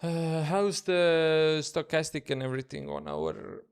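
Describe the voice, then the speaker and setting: Smooth-voiced Estonian man, casual live stream. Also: a little high, huge pitch swings, a little slow. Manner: casual, chatty, thinks aloud in loose non-native English. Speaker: day trader streaming live. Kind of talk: live stream commentary, answering chat. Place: his room at home.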